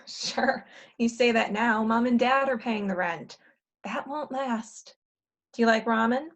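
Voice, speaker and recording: Woman playing a high-voiced, cynical young schemer. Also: measured pace, fairly bright tone. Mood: cynical, blunt. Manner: blunt, dry, insistent. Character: cynical, money-minded, pushy woman of twenty-seven from the future. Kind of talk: comedy play read aloud.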